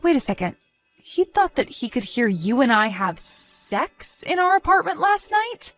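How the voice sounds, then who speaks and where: A woman's voice: high voice, very animated, measured pace, a bit bright, faint music behind, heavily acted. A woman acting an evasive wife in a dramatized story, sweet but easily flustered and indignant, with theatrical expression. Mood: defensive, indignant. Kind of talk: dramatized text-message story narration.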